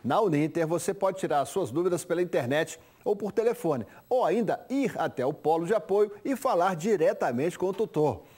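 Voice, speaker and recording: Middle-aged male TV sports host, high voice, lively conversational delivery, studio sound. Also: breathy edge. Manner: lively, conversational, quick asides. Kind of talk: studio sports chat and live ad read.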